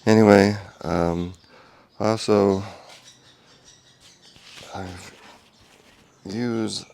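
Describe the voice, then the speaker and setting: Male hobby car restorer, deep gravelly voice, flat monotone delivery, quiet and halting. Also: a little hiss or hum. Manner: quiet monotone talk with many ums and restarts. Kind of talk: casual workshop update video. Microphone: small clip-on wireless mic.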